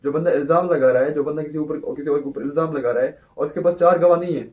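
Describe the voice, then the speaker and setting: A man giving a casual law lecture, explaining slowly, restarting phrases and asking rhetorical questions. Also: fairly high pitch, darkish tone, lo-fi sound. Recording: voice a little far from the mic.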